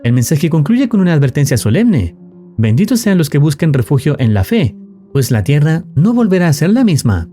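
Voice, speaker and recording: A man narrates a scripted story in formal, measured phrasing. His voice sits a little high and has a very mellow, relaxed tone, with animated intonation that moves through each sentence. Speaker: narrator of horror video recaps. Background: music.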